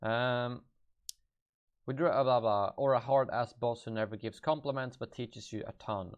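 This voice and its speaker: A man's voice: mid-range voice, normal speed. A young man with a Swedish accent, reading viewer questions aloud on a live stream and answering them off the cuff, thinking aloud as he goes. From Sweden. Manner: thinks aloud, hesitant and offhand. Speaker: gaming YouTuber.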